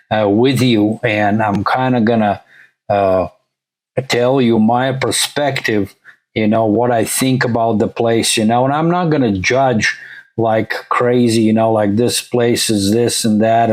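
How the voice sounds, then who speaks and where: A man's voice: mid-range voice, rough recording. A middle-aged man from Latvia, for whom English is a third language, casually talking as a channel host and leaning heavily on "uh" and "you know.". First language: not English; English is his third language. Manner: rambling, many "uh" and "you know" fillers. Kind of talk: casual vlog narration over a shared screen.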